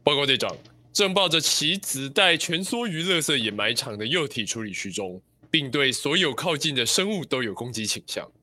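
A man plays a dutiful junior officer in a radio drama. The voice is forceful and very bright, with lively pitch and formal report phrasing. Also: normal speed, full character voice. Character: dutiful, formal male squad subordinate reporting to captain. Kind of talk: amateur radio drama acting.

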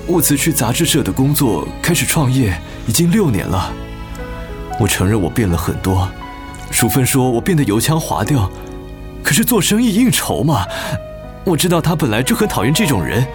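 Actor as conciliatory young family man, lively intonation. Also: mid-range voice, natural voice. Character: conciliatory, apologetic son-in-law, hardworking family man. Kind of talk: radio drama acting. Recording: music behind the voice.

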